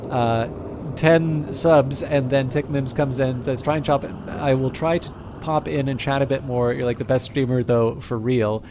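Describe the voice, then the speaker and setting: A young adult man from Canada, chatting casually and at length while live-streaming a game, with many fillers and restarts. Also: mid-range voice. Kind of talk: casual live-stream chat over gameplay. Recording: noticeable background noise.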